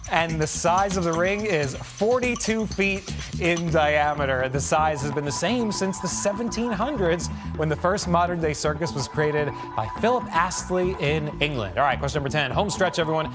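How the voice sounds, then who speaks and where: A man with a somewhat high-pitched voice hosts a trivia game. His delivery is upbeat and scripted, with the polish of a promotional announcer. Music plays clearly behind him. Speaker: trivia game show host. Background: music bed.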